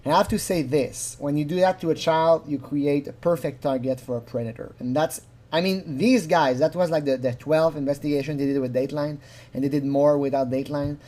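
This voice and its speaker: A man's voice: fairly high pitch, a little fast, dark tone. A man giving informal, unscripted commentary on a recording, rambling and acting out quotes as he explains. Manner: rambling, animatedly acts out quotes. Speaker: commentator analysing a recorded phone call.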